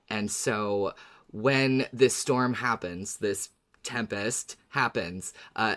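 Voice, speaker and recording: A woman co-hosting a podcast, eagerly explaining her research in casual discussion. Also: rumbling low. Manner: enthusiastic, explains research at length. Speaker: podcast co-host.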